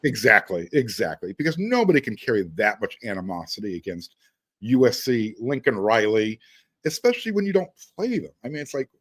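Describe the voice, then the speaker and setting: A man's voice: mid-range voice, sing-song, fairly quick, a bit bright, dry recording. Man hosting sports talk, swooping intonation. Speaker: sports podcast host. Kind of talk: casual sports talk with read-out sponsor spots.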